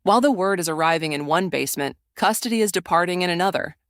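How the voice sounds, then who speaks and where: A narrator reading an audio drama in a measured, literary manner. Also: medium pitch, fairly bright tone, no echo, clean studio sound.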